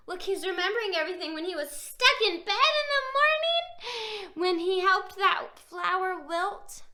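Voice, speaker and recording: High-pitched, animated woman reading to children. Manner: exaggerated wonder, checks in with questions to child listeners. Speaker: children's picture book reader and host. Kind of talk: animated read-aloud to young children. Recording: roomy echo.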